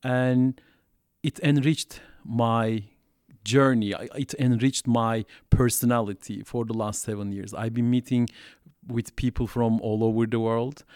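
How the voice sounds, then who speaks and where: A man's voice: medium pitch, fairly even intonation, a little slow, a little mellow, smooth, no echo, clean studio sound. A man in his thirties from Turkey, earnestly telling his life story as a guest in a classroom. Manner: earnest, repeats "so", laughs lightly. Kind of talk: personal storytelling in interview. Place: college classroom.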